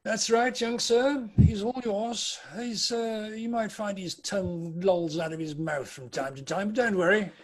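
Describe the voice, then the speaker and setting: A reformed old miser in a storybook drama, played by a man. His voice sits high for a man, and he speaks with halting, formal courtesy and a generous temper. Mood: kindly. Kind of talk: amateur table read of a classic story. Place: remote video call reading.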